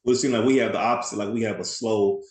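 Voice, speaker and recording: A man's voice: fairly low pitch, steady tone, very fast, darkish tone. Man, party rental owner; casual, rambling, laughs a lot; roomy sound. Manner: chatty, rambling, laughs often. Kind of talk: casual video call roundtable.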